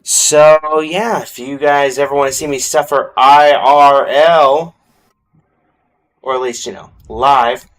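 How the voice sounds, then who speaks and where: A man's voice: mid-range voice, steady tone, slow, a bit bright. A man doing casual, chatty livestream commentary on a video game, speaking directly to his viewers. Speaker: live streamer.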